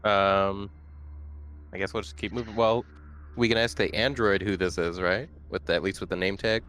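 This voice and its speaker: Lowish-voiced man playing a tabletop game. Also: steady tone, moderate pace, a bit bright, clear, resonant tone, faint music behind. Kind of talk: casual game-table chat.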